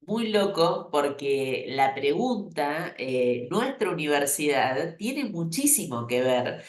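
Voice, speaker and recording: A woman in her fifties from La Plata, Argentina, with a Rioplatense accent, giving a university lecture in a chatty way, full of fillers and lively rises. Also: deep voice, slow pace, roomy sound. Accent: Rioplatense Argentine Spanish. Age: 50s. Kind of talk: lecture, thinking aloud.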